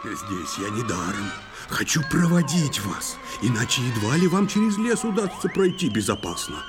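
An actor playing a boisterous, mischievous and menacing water spirit uncle in a children's verse audio play, theatrical and sing-song. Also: male voice, medium pitch, sing-song, moderate pace, over music, natural voice. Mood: insistent, boastful.

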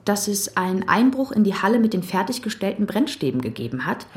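An actress playing a wry, determined female police investigator in a radio crime drama, with an expressive way of talking. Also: medium pitch, brisk, natural voice. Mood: explaining, pointed.

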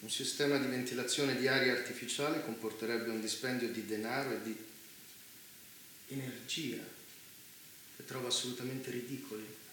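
An actor playing the courteous but condescending master of a dystopian bunker, formal and measured in a stage drama. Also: male voice, medium pitch, fairly even intonation, a bit bright, close to an everyday voice. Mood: superior, explaining. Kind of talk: Italian theatre dialogue. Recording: very echoey theatre, faint noise.

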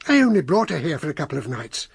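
Anxious, breathy older gentleman. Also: on the high side, very animated, fast, heavily acted. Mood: apologetic, worried. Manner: earnest, tentative. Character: anxious, mild, well-meaning older gentleman. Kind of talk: BBC radio drama acting.

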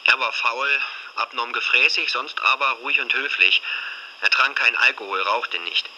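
Hotel boss giving a formal statement, super tinny radio filter, husky, harsh bright sound. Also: male voice, medium pitch, moderate pace. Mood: matter-of-fact. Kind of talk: scripted radio drama, read-out testimony.

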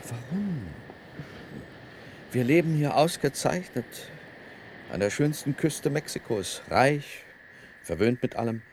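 A man's voice: medium pitch, lively intonation, takes it slowly, pauses often, a little mellow, a little hiss or hum. An actor in a German radio play, playing an evasive, pampered wealthy man. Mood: evasive, musing.

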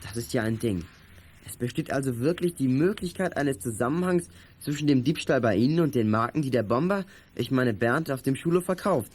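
Actor as animated, eager teenage schoolboy detective. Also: male voice, medium pitch, fairly quick, lightly acted. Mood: eager, confident. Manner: eager, polite, confident. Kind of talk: 1980s children's audio drama acting.

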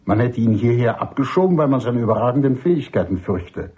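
A man playing an older army major and doctor in a radio drama, husky, emphatic and cynical, courteous but dismissive. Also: medium pitch, expressive, brisk, mellow, highs cut off. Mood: exasperated. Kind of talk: German radio drama acting.

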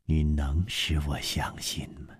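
A man voicing a cold, haughty young martial-arts woman in an audiobook, with a deliberate, menacing delivery. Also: a bass voice, flat delivery, husky, no room sound, clean studio sound, voice pushed down, full character voice. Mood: icy accusing.

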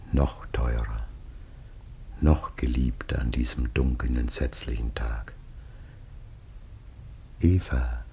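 An actor playing a dying aged patriarch in a classical radio play, solemn and tender, declaiming slowly with breathy, whispered passages. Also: male voice, a bass voice, warm tone, full character voice. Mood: tender, sorrowful. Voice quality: gravelly, husky, breathy.

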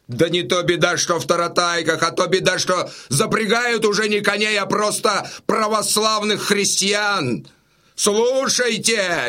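Casting: aggrieved Cossack messenger, high sharp male voice, slow emphatic radio-play declamation. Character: agitated ragged Cossack messenger bearing grim news, indignant. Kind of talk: theatrical audio drama declamation.

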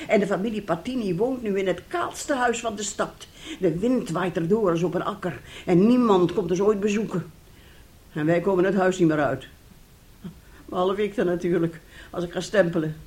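A woman's voice: mid-range voice, close to an everyday voice. An actress in a radio drama playing a bitter, sarcastic middle-aged wife and mother who talks reproachfully and theatrically. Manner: reproachful, sarcastic, dramatic, swinging between hushed and loud. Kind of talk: radio drama acting in Dutch.

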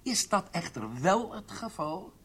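A husky, somewhat high-voiced military prison doctor in a radio drama. He interrogates with measured, formal courtesy that carries menace. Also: sing-song, a bit bright, exaggerated voice. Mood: politely threatening. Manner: measured, formal, pointedly polite.

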